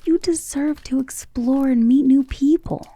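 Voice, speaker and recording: A woman voicing an ancient, tender and protective vampire lover in an intimate, breathy romantic ASMR roleplay, with genteel wording and a vulnerable air. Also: high voice, measured pace, mellow, dry recording. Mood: worried, regretful. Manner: intimate, breathy half-whispers with sighs.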